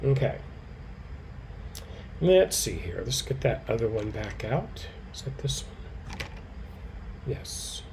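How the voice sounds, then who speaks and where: A man hosts a live quilting lesson in a mellow, warm voice with a slight hoarseness. He answers viewers by name in an easy, relaxed way. Between explanations he murmurs quietly to himself as he works, and a faint hiss sits underneath. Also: medium pitch, takes it slowly, long pauses. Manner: relaxed, talks softly to himself while he works. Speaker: quilting educator. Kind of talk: live craft demonstration.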